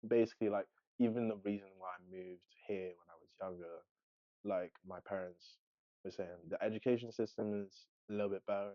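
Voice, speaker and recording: A man's voice: low-pitched, dry recording, muffled recording. A young man, chatting casually and informally as a podcast host. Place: podcast studio.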